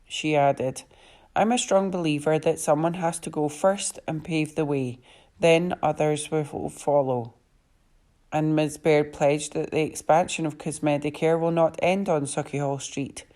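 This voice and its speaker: A woman's voice: sits low, steady tone, a little slow, dark tone. A woman reading newspaper articles aloud from a script as a volunteer reader.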